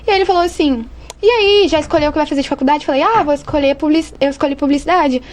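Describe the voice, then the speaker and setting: A woman's voice: high-pitched, racing. A young Brazilian woman telling personal stories casually and informally as a podcast guest. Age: young adult. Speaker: advertising student, podcast guest. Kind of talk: casual conversational storytelling.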